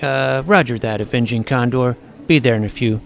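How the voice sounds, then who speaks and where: A man reading a first-person story aloud in a wry, deadpan manner. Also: mid-range voice, normal speed. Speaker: audiobook narrator. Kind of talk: first-person scripted narration.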